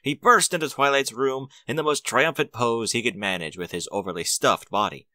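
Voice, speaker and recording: A male narrator reads a scripted story. His medium-pitched voice is bright and moves with lively expression, and the recording is dry, with no room sound. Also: normal speed, dry recording.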